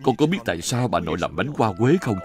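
Old grandpa, lowish voice, patient n instructive, cartoon, music behind. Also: male voice, sing-song, fast talker, darkish tone. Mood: explaining. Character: elderly grandfather, patient, gently admonishing. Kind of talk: dubbed cartoon acting.

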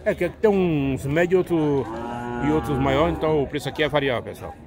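Chatty market vlogger, somewhat high male voice, filmed on a phone outdoors with a loud market crowd behind him. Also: quick pace. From Northeast Brazil. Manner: chatty, calls out prices to viewers. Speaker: vlogger showing a cattle market. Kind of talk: casual walk-around commentary. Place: outdoor cattle market pens. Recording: crowd noise of a busy market.

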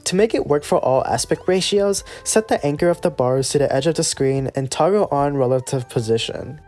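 A casual, playful host narrating a scripted software tutorial. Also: mid-range voice, fairly quick, faint music behind.

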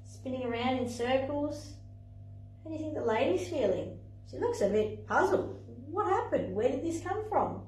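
A young woman, a playgroup facilitator, tells a picture story for toddlers and their parents in an animated, sing-song way, with lots of exclamations. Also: sits high, normal speed, distant mic, faint music behind. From Australia. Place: indoor playroom, in front of a screen. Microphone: far camera. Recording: echoey room, voice from afar.

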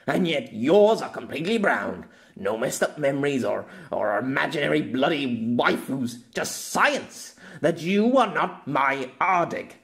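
A man voicing an angry, bitter man who speaks in a forceful, accusing, demanding way. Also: fairly high pitch, animated, a little slow, gravelly, exaggerated voice.

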